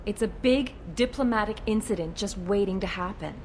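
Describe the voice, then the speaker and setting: Woman playing alien lady cop in a video game, matter of fact, slightly fed up, rumbly noise behind her. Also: a little fast, close to an everyday voice. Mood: exasperated, firm. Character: wary, plain-spoken female alien police officer.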